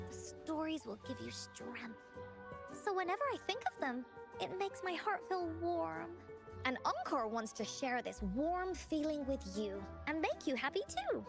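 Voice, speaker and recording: A cheerful little girl from an anime video game. Her voice is very high and animated, swinging eagerly as she spins stories and plans adventures. Clear game music plays behind her. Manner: refers to herself in the third person, eager. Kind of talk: video game voice acting, cute anime style.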